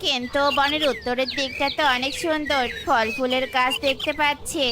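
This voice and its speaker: A woman's voice: sits high, steady tone, moderate pace, background noise, pitched below the performer's own voice, lightly acted. Woman putting on a curious male tourist bird.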